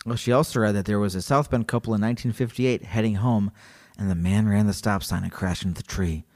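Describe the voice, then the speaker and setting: A man co-hosting a podcast, chatting casually and reading a story aloud, animated and joking. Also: fairly low pitch, fast talker. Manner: animated, joking, laughs often. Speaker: podcast co-host.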